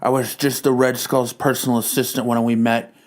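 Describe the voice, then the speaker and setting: A boastful, nagging wife in a comic-book parody, acted out by a man. The voice drops low and leans hard on drawn-out superlatives, like a bombastic politician on the stump. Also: level tone, slight background noise, close to an everyday voice. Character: boastful nagging wife, spoken as a Donald Trump-style parody. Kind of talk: comic dramatic reading.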